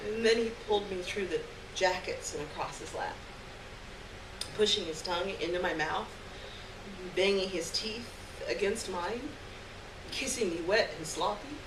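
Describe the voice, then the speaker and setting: A woman giving an expressive dramatic reading of a literary short story live on stage, acting out the dialogue. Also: medium pitch, a little slow. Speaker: story reader. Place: live stage event, recorded from a distance. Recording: roomy distant live recording, clear background noise.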